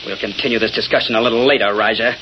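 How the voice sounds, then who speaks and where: An actor playing a blustering, threatening crooked stockbroker in 1930s radio drama style. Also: male voice, fairly low pitch, fairly even intonation, rapid-fire, lightly acted. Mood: angry, threatening. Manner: blustering, forceful talk. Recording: muffled noisy old radio recording.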